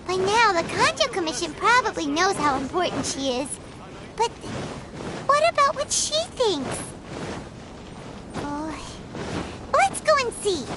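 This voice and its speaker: A woman voicing a tiny, outspoken, childlike companion in an anime game, perky, blunt and expressive. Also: very high-pitched, unhurried, pauses often, noisy background, full character voice. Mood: chirpy, concerned.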